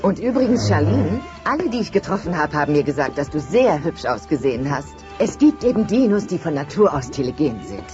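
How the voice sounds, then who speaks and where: A woman's voice: low voice, sing-song, fairly quick, hardly any pauses. An actress voicing a caring, chatty middle-aged dinosaur mother who coos and fusses in a German cartoon audio play. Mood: chatty, proud. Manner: motherly, cooing. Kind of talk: German children's audio drama, cartoon adaptation. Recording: dull cassette audio drama, music behind.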